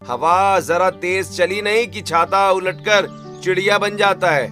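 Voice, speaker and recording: A man's voice: high voice, measured pace, pushed and bright, soft background music. A man voicing a cheerful young farmer brother who teases his family in a cartoon story. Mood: teasing.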